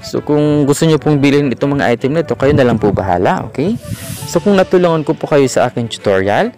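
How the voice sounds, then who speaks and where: A man with a Filipino accent hosting a screen-recorded app tutorial, talking in a relaxed way and leaning on fillers like "ayan" and "okay". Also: mid-range voice, quick pace. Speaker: tutorial host. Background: background music.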